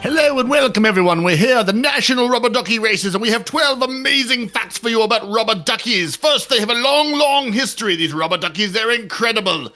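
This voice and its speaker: A man putting on a loud, excitable English TV newscaster with a hammy delivery. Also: very high voice, brisk, few pauses, faint music behind, voice pushed up, exaggerated voice. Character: shouty English male TV newscaster.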